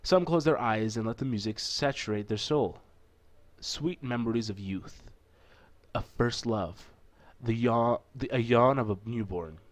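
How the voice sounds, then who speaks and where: A man's voice: mid-range voice, measured pace. A man narrating a visual novel playthrough, with an informal, self-correcting manner and casual asides. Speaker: let's play narrator.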